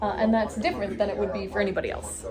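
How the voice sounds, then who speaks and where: A woman's voice: mid-range voice, lively intonation, very fast, a crowd in the background. A woman chatting enthusiastically and casually as the host of a group recap vlog.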